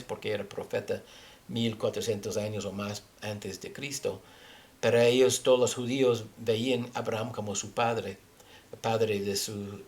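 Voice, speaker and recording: A man with a foreign accent, a native English speaker, teaching scripture haltingly as he searches for words. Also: on the low side. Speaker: religious teacher. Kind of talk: Bible teaching with scripture reading.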